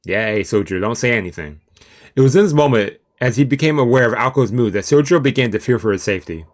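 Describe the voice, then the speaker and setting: A man's voice: medium pitch, lively intonation, fast. A man reading a visual novel aloud as a let's play, with casual asides and stumbles over long words. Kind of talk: let's play commentary and read-aloud narration. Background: video game music.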